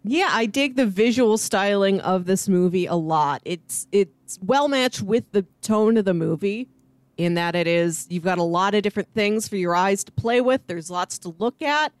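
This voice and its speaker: A woman's voice: medium pitch, lively intonation, normal speed, dry recording. A woman co-hosting a podcast, casually and enthusiastically discussing film. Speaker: podcast co-host.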